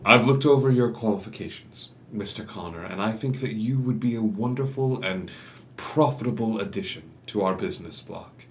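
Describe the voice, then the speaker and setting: An actor playing a charming, calculating gentleman doctor and proprietor in a musical table read, speaking in a courtly, deliberate manner. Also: fairly low pitch, natural voice. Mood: smooth, persuasive. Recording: noisy home recording.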